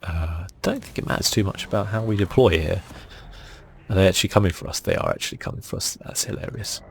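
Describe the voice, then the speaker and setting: Male ASMR gamer: soft, breathy, low and creaky, casual half-whispered play-by-play. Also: quick pace, slight background noise. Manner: casual, laid-back, musing to himself. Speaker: gaming channel host. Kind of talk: relaxed ASMR game commentary.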